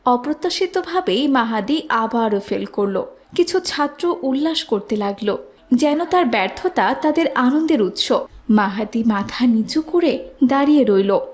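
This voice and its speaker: Lady narrator reading a kids moral story, pretty high voice. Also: lively intonation, a little slow.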